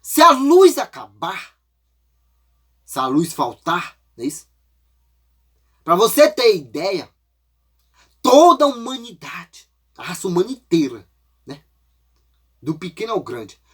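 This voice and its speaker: Congested preacher with lively, husky voice. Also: male voice, on the high side. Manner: folksy, repeats "né?" often. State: congested with a cold. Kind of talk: informal sermon.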